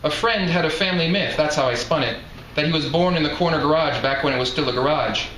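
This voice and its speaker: A man's voice: fairly high pitch, quick pace, fairly bright tone. A man reading first-person literary narration aloud from his own novel as its author. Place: live reading event indoors. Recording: echoey room, faint background noise.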